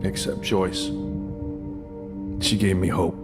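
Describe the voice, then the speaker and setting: A middle-aged army veteran in a narrative video game. His voice is low and gruff, his delivery heavy and self-blaming. Music runs clearly underneath. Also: fairly even intonation, slow pace, in a large hall. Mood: remorseful, subdued. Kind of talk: dramatic video game cutscene acting.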